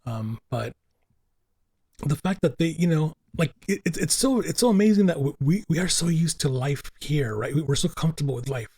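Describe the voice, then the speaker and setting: Husky-voiced man, earnest podcast talk. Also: a little high, expressive, fairly quick, warm tone. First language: Italian and Spanish. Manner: earnest, emphatic, repeats himself for stress. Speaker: podcast co-host. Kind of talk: casual podcast chat.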